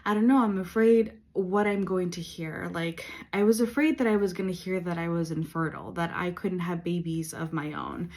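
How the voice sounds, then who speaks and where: A woman in her late thirties talking in a personal faith vlog, earnest and reflective, with many pauses and fillers. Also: on the low side, a little mellow. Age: 30s. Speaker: vlogger.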